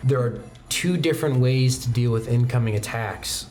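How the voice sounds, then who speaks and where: A woman's voice: a bass voice, moderate pace, warm tone. A woman working as game master, narrating a tabletop role-playing game off the cuff. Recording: music behind the voice. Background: background music.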